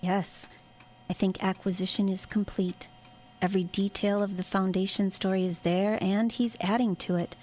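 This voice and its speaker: A calculating military woman in an audio drama, played by an actress. Her voice sits at a medium pitch, and she speaks with clinical control and cool authority. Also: level tone, moderate pace, a little mellow, lightly acted. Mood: coolly calculating. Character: cold, calculating woman superior in a covert military unit. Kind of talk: audio horror drama acting.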